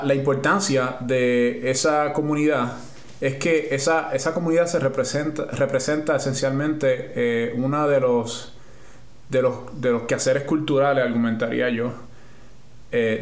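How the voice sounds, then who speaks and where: A man's voice: medium pitch, echoey room. Man with a Puerto Rican accent, thoughtful. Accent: Puerto Rican Spanish. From Puerto Rico. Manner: thoughtful, restarts and rephrases while explaining. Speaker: scientist guest. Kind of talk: interview answers.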